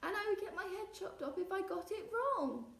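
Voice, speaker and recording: A woman's voice: extremely high pitch, expressive, moderate pace, vocal fry, voice pushed up, heavily acted. A woman reading a children's storybook, voicing a prim, goody-goody little boy who is earnest and easily upset. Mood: wailing. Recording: roomy echo, distant mic.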